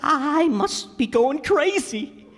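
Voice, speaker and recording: A man's voice: extremely high pitch, expressive, slow, fairly bright tone, a little hiss or hum, exaggerated voice. A man playing a blustering, cowardly, good-hearted working-class father in an old-time radio comedy, with folksy ungrammatical speech that stammers under fright. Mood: frightened. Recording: echoey hall stage recording.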